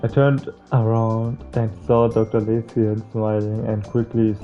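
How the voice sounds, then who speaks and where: A man reading visual novel text aloud as a playthrough host, stumbling over words now and then in a halting way. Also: on the low side, little pitch movement. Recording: muffled lo-fi mic, music behind. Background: game music.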